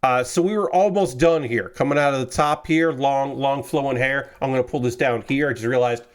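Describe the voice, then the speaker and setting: A man's voice: mid-range voice, fairly quick. A young man casually chatting with his stream viewers while building model kits, rambling and correcting himself, a little congested after a cold. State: slightly congested, recovering from a cold. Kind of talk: casual livestream chat. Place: his room with window open.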